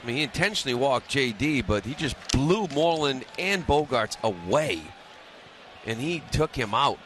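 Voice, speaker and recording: A man with a bright, forceful voice analyzes baseball on live radio. He is opinionated and conversational, with middling pitch and stadium noise behind him. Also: expressive, moderate pace. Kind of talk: live color commentary. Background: outdoor ballpark ambience.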